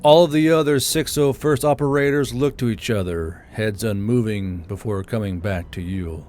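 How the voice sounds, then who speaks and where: A man's voice: on the low side, moderate pace, a little mellow, soft background music. A man reading scripted military fiction as an audiobook narrator.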